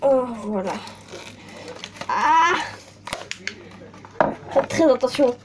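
Jeune fille qui parle lentement en ouvrant des jouets, plein de euh, voix haute. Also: female voice, sits high, expressive, drawn-out words, background noise. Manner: hesitant, many fillers and apologies. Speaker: vlogger. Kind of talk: casual toy unboxing chat. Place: desk at home, indoors.

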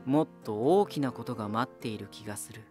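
An actor playing a proud, ominous lighthouse spirit hardened by war, deliberate, cryptic and condescending, in a dramatic voice drama. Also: male voice, medium pitch, a little mellow, faint music behind, natural voice. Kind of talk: dramatic Japanese voice drama acting.

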